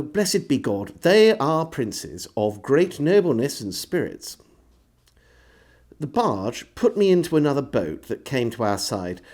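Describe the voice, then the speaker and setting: Male storyteller, for historical diary narration. Animated intonation, measured theatrical delivery. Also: mid-range voice.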